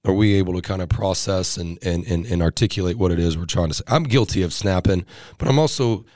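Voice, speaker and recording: A man with a deep, low voice, talking earnestly and casually. He restarts his sentences and checks in with "right?" a lot. The sound is close and dry. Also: fast talker, darkish tone, no echo. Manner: earnest, many restarts, tags lines with right?. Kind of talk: casual chat.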